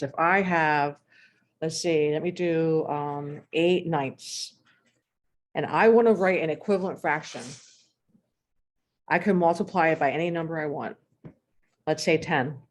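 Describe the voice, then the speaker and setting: Low-voiced woman teaching, voice going hoarse. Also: normal speed. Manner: clears throat often, talks through examples step by step. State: losing her voice. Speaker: math teacher. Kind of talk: recorded math lesson.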